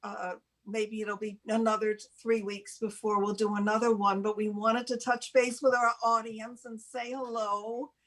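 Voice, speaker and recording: An older woman co-hosting a casual, unscripted show, speaking haltingly with pauses mid-sentence. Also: fairly high pitch, level tone, rough recording. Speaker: show co-host.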